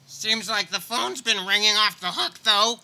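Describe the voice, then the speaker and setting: A man's voice: sits high, unhurried, sharp tone, exaggerated voice. A man playing an inquisitive call-center coworker in a comic radio play. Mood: curious. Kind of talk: live comic radio theater acting.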